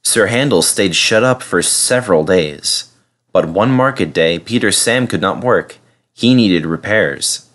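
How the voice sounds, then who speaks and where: A man reading a children's story aloud as an expressive narrator. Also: deep voice, measured pace. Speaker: storybook reader.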